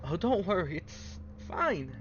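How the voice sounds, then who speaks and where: A man's voice: high voice, very animated, slow, pitched above the performer's own voice, full character voice. A man voicing an eerie, over-friendly young woman stranger who speaks with insistent, sweet familiarity in a visual novel. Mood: airy, reassuring. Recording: muffled lo-fi mic with loud noise.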